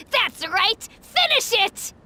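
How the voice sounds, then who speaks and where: A woman's voice: extremely high pitch, pushed and bright, a little hiss or hum, full character voice. A woman voicing an earnest, spirited little boy in a video game, childlike and emphatic. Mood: excited boasting. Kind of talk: animated video game acting.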